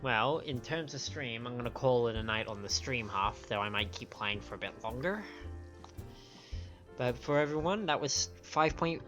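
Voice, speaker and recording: A man's voice: medium pitch, expressive, normal speed, a bit bright. A man chatting casually as a livestream host, sniffly, with hesitant, rambling asides. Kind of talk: casual stream chat. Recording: dull lo-fi stream audio, faint music.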